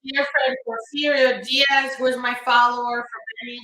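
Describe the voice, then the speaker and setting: A woman's voice: high-pitched, fairly even intonation, normal speed, pushed and bright. A woman, an immigration lawyer chatting on a live stream and greeting viewers by name, with an upbeat manner and frequent fillers. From Ukraine. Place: home or office room in New York. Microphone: phone. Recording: echoey room on a phone.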